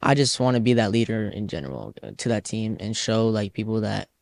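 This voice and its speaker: A man's voice: fairly low pitch, big pitch swings, a little fast, creaky, no room sound. A teenage boy, a youth soccer player, answering interview questions in a loose, rambling way full of "like" fillers. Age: teens.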